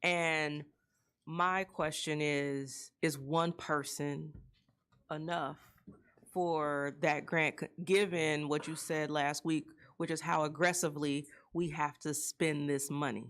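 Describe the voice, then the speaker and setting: A man who is the vice mayor, asking a deliberate, carefully phrased question at a council meeting. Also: fairly high pitch, level tone, fairly bright tone, smooth.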